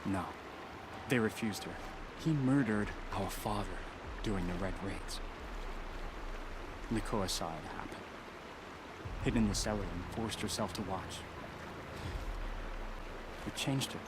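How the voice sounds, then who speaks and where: A man's voice: on the low side, measured pace, long pauses, natural voice. Earnest, humble tribesman played by a man, noisy background. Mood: grim, sorrowful. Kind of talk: video game voice acting.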